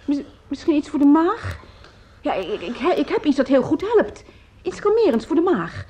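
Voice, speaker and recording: High-voiced fussy scolding landlady. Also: very animated, normal speed, a little mellow, dull audio. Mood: fussing, coaxing. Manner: chattering, repeats words, nagging. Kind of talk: radio drama acting. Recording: faintly noisy old radio recording.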